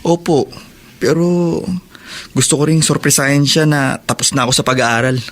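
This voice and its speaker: A man's voice: on the high side, normal speed, lightly acted. A Filipino man acting in a radio drama as a respectful, hopeful prisoner studying for his mother's sake. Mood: reflective, grateful. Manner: polite, earnest.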